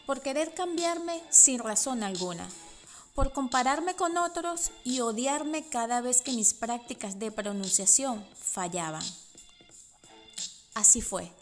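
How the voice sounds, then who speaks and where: A woman in her forties with a Venezuelan Spanish accent, reading her own personal essay in a reflective, measured way. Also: a little high. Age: 40s. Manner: reflective, measured, with soft laughs. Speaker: podcast host and writer.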